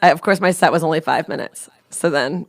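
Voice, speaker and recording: Woman comic on a podcast, lowish voice, rambly storyteller, cracks herself up. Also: brisk, vocal fry. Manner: rambling, animated storyteller who laughs at her own jokes. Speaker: stand-up comedian, podcast co-host. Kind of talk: casual comedic chat.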